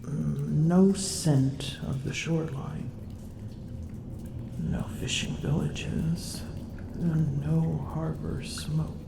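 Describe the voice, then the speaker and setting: A drowsy, aloof she-dragon, played by a woman in an ASMR audio roleplay, her voice gravelly and often sinking to a whisper. She drawls each word at a very slow, unhurried pace. The sound is roomy, with loud drips behind. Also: low-pitched, fairly even intonation, background noise, exaggerated voice. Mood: musing. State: weary. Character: drowsy, aloof female dragon, vain and teasing.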